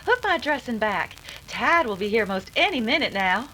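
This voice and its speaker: An actress playing an eager, lovestruck young frontier daughter in an old-time radio western. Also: female voice, fairly high pitch, sing-song, moderate pace, pushed and bright, a little hiss or hum, poor audio. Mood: impatient.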